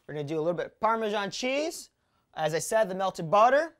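A man's voice: sits high, very animated, fast talker, fairly bright tone. A young man hosting a casual home cooking video in a jokey, slangy way. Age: young adult. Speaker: cooking show host. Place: home kitchen. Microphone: clip-on lapel mic. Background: guitar music.

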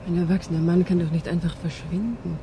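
Anxious young female client for radio crime drama, played by a woman: dark, soft timbre, restrained, guarded, polite. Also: fairly low pitch, steady tone, quick pace, a little hiss or hum, lightly acted. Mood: worried, insistent. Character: anxious, guarded young woman seeking her missing fiancé. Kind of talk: 1970s German radio crime drama acting.

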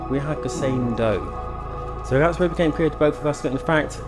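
A man's voice: fairly high pitch, moderate pace. A middle-aged man giving a playful, conversational, light-hearted groom's speech at a wedding. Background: music.

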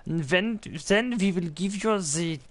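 A man's voice: high-pitched, measured pace, a bit bright. A man voicing a bungling, apologetic foreign surgeon with a put-on accent and stilted, flustered delivery in a gaming commentary. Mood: apologetic, determined. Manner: flustered, exclaiming, stilted uncontracted phrasing, swearing. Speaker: video game player.

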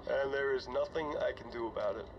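A dull, slightly noisy recording of a man acting as a weary starship commander keeping a game audio log. He is older and conscience-stricken, talking in a halting, confiding way at a middle pitch. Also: natural voice. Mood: resigned, rueful. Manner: conversational, halting, sighing.

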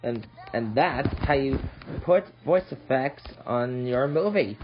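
A man hosting a casual hobby app tutorial, speaking haltingly and repeating his phrases. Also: mid-range voice, lively intonation. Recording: dull lo-fi audio with noise.

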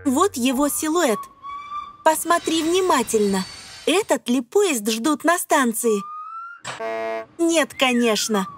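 High-pitched woman narrating for children. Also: expressive, a little hiss or hum. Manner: instructive, addressing children with questions, deliberate phrasing. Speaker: narrator of an educational children's cartoon. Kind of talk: scripted narration for young children. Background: music.

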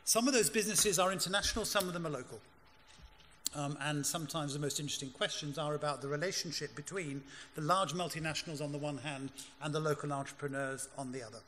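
Bright-voiced man moderating in a hall. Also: fairly high pitch. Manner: brisk, dryly wry, directive. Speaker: panel moderator. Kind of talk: moderating a panel discussion. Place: large conference hall stage. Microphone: lectern microphone. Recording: hall reverb, faint room hum.

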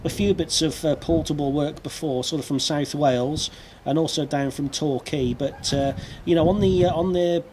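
Casting: British male radio hobbyist, fairly high-pitched, hesitant and chatty, recorded outside with some background noise. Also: fairly even intonation, normal speed, darkish tone. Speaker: amateur radio operator. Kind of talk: casual radio contact and outdoor video commentary. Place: outdoors on a seaside rooftop. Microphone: video camera near the speaker. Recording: outdoor rooftop with clear background noise.

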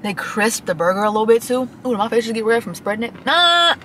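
A woman's voice: on the high side, animated, brisk, a bit bright, a little hiss or hum. A woman of twenty chatting to her phone camera from a parked car, gushing and casual, often saying y'all. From Michigan. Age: 20s. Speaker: vlogger.

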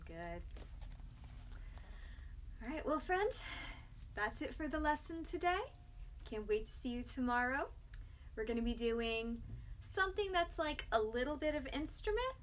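A young woman teacher talking to small children in simple words, asking questions and pausing for their replies. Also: on the high side, fairly bright tone, slight background noise. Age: young adult. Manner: simple child-directed talk with questions and pauses for response. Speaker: music teacher hosting children's storytime. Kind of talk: children's lesson, speaking to young kids.

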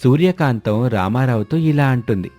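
Guy narrating a family moral tale, soft dark voice, dry recording, bit of music under it. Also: medium pitch, lively intonation, normal speed, no room sound. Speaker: story narrator.

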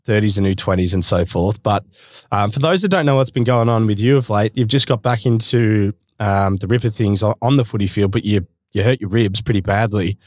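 A man in his thirties with an Australian accent, hosting a casual podcast interview and chatting matily with frequent laughs, recorded on a boom mic in a small studio. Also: brisk, darkish tone, no echo. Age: 30s. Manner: chatty, laughs often, matey slang. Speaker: podcast host. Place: small studio room with couch. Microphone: boom-mounted podcast mic.

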